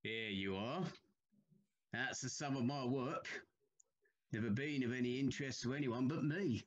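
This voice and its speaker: A man playing a humble, haunted Victorian railway signalman in an audio play, speaking gravely and haltingly with deference. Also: mid-range voice, dull audio, natural voice. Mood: modest, hesitant.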